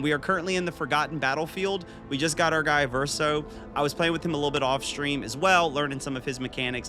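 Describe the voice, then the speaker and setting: A man in his thirties talks casually and upbeat to his live chat while he plays, answering viewers one after another. His voice sits at an ordinary middle pitch. Game music plays clearly underneath him. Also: pushed and bright. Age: 30s. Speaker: gaming streamer.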